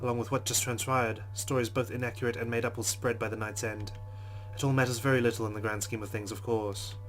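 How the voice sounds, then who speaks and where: A man hosts a let's play and reads a visual novel's narration aloud. His delivery is plain and measured. His voice sits in a mid range. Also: mid-range voice, flat delivery, a bit bright, soft background music.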